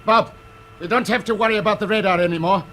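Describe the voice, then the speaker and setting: Actor as male space navigator, high voice, casual and wry, old radio serial with faint noise. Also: steady tone, quick pace, lightly acted. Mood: wry, alert. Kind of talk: 1950s radio science fiction acting. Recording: noisy vintage 1950s radio recording.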